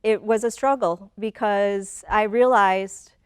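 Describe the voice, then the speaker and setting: A woman's voice: medium pitch, steady tone, slow pace, smooth, no echo, studio quality. A woman in her forties who grew up in Michigan, a guest in a conversational interview, speaking thoughtfully, often repeating words as she gathers her thoughts and laughing easily. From Michigan, USA. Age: 40s.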